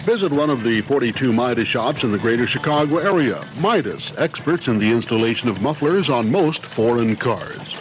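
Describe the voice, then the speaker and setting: A man's voice: mid-range voice, expressive, normal speed, few pauses, loud music behind the voice, poor audio. A man reading scripted station identifications and advert tags as a radio announcer.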